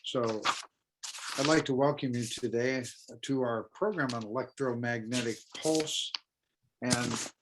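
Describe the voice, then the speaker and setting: A man in his sixties hosts a webinar over a video call and reads a guest's credentials. He speaks in a formal, steady way, with fillers between phrases. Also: medium pitch, unhurried, noisy background. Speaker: webinar host, moderator. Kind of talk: formal introduction. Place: indoor office with flags. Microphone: video call webcam.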